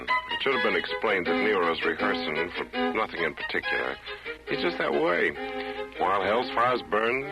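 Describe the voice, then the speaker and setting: Casting: a low-pitched, wry sotto voce storyteller for vintage radio drama, music loud behind. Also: male voice, rough recording. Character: wry, confiding male narrator. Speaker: narrator. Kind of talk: 1940s radio acting, scripted narration.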